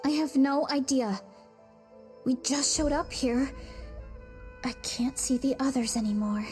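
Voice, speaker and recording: A woman's voice: high voice, measured pace, a little mellow, soft background music. A woman playing a mild, candid young female adventurer in a video game, casual and matter-of-fact. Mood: puzzled. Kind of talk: video game character acting.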